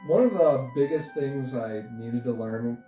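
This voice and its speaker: Man in his twenties, casual chat, halting delivery, distant mic, guitar music behind. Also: medium pitch, warm tone. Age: 20s. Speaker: interview guest, stop-motion creator. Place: indoor room, sharing the frame with host. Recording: roomy, off-mic, music underneath.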